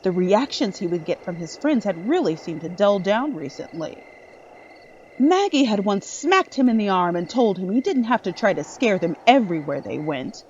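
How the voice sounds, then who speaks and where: A woman's voice: mid-range voice, very animated, normal speed, a little mellow, highs cut off, slight background noise. A woman reading scripted narration for a dramatic horror story.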